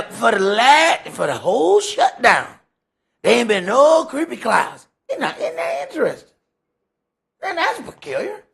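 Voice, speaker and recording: An American man leading a Bible study, preaching off the cuff in an emphatic way with repeated phrases and rhetorical questions, his voice rough and raspy. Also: high-pitched, fairly bright tone. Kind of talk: sermon, extemporaneous teaching.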